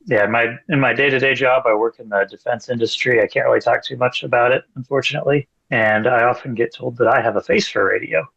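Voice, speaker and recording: A man's voice: medium pitch, steady tone, quick pace, creaky, few pauses, fairly bright tone. A man introducing himself on an online writers' panel, hesitant and full of fillers, heard over lo-fi call audio. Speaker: panelist, author. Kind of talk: casual panel chat.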